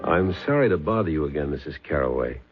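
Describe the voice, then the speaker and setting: An actor playing a persistent police sergeant with a clipped, probing way of questioning, in a 1970s radio drama. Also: male voice, rumbling low, expressive, quick pace, slightly rough, faint music behind, full character voice. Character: persistent middle-aged male police sergeant. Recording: tinny old radio broadcast.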